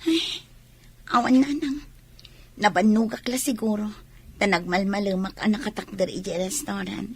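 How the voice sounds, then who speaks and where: A woman's voice: mid-range voice, steady tone, normal speed, vocal fry, long pauses, slightly rough. A woman playing a stubborn, reluctant young daughter in a radio drama. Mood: reluctant, pleading. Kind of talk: radio comedy drama dialogue.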